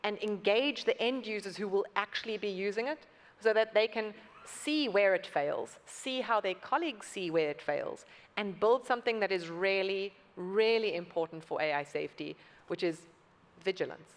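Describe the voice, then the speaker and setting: A woman's voice: medium pitch, normal speed. A woman speaking on a conference panel, earnestly explaining and repeating words for emphasis. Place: conference hall. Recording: long reverb from a large hall.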